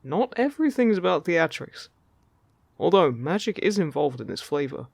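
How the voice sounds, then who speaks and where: A man acts out a playful male fairy in a fantasy audio roleplay, speaking close and dry. His voice is lightly husky with some creak, and he talks in a casual, teasing, reassuring way. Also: fairly high pitch, very animated, moderate pace, no room sound, full character voice. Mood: cheerful, inviting. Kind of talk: scripted audio roleplay addressed to the listener.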